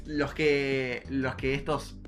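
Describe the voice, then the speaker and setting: A man's voice: mid-range voice, little pitch movement, slow, faint music behind. A young man with an Argentine accent chatting casually and informally, with plenty of slang, as a podcast co-host. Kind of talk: casual nostalgic chat.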